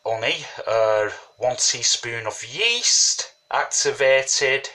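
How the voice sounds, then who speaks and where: A man with a slight cold walks through his recipe at a slow, unhurried pace. His voice has a bright, forward edge, and he keeps up a loose, chatty patter full of asides. He sounds like he is talking at his kitchen table while he works. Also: mid-range voice. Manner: chatty, rambling, says "you know what I mean". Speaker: home brewing hobbyist. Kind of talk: casual how-to tutorial.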